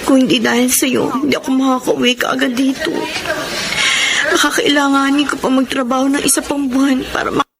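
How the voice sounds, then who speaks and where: An actress playing an earnest, grateful young younger sister in a Filipino radio drama. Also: female voice, sits high, level tone, a little fast, creaky, heavy background noise.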